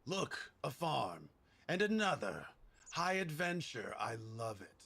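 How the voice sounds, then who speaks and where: A man playing a gruff, drunken veteran warrior, haunted but eager to fight, in a video game. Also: a little high, big pitch swings, takes it slowly, bright, forceful tone, exaggerated voice. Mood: jovial. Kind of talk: dramatic video game dialogue.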